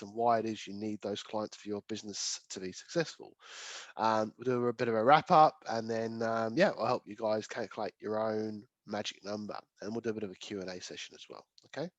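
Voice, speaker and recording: A man in his late thirties or forties with a croaky voice from a chest infection, giving a casual presentation on sales and rambling through lots of fillers. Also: fairly low pitch, no echo, highs cut off. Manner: rambling, filler-heavy, self-correcting delivery. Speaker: webinar presenter on B2B sales. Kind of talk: casual presentation to an online audience.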